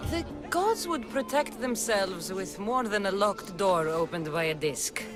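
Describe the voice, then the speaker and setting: A woman as a wry mercenary, animated pitch. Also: a little slow, music in the background, close to an everyday voice. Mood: sceptical. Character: tough, sardonic female Greek mercenary. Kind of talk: video game character acting.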